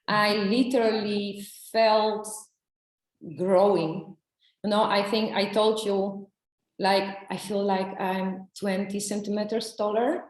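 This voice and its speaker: A woman telling her story in an interview, halting and searching for words, with many restarts. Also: medium pitch, flat delivery, roomy sound, rough recording. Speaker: coaching client. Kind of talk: testimonial interview.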